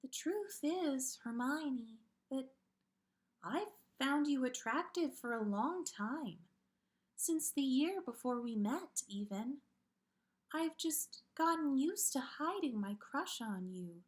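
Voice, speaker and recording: Woman acting a teenage witch girl, storybook reading, raised pitch, dreamy, airy, shyly lovestruck, halting. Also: expressive. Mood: shy, confessing.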